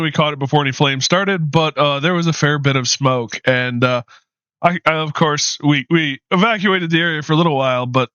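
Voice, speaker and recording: Tired, slightly hoarse male streamer. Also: pushed and bright, dry recording, muffled recording. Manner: low-key, rambling with frequent ums and uhs. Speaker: game streamer. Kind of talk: casual live-stream chat.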